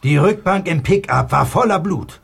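An actor playing a gruff, stubborn old man with a husky voice and an insistent, indignant manner in an audio drama. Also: on the high side, animated, normal speed, heavily acted. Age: older.

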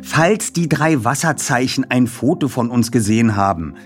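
A man acts out a teenage boy who leads a detective team in an audiobook. He sounds raised in pitch and very animated, with precise, pompous and bossy wording. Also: normal speed, soft background music, natural voice. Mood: matter-of-fact.

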